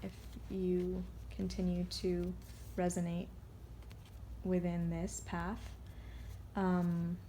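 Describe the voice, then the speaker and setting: A woman giving a casual, unscripted card reading, rambling and halting as she drifts off on tangents. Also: fairly low pitch, completely flat delivery, dark tone, a little hiss or hum, low-quality recording. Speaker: tarot card reader.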